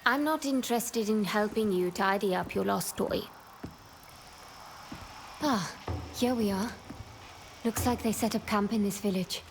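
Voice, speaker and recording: A woman's voice: medium pitch, a little slow, pauses often, noisy background, natural voice. An actress playing a self-reliant, dryly sceptical young Indian woman adventurer in a video game, speaking tersely and warily. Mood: guarded, dry. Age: young adult. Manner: terse, dry, wary. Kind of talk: video game cutscene acting. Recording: music behind the voice.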